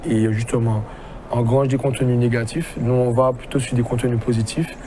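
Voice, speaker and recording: A man, a teacher, explaining a school project in a steady, explanatory way. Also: mid-range voice, fairly quick, slight background noise. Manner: steady, explanatory, uses "en fait". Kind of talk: explanatory interview.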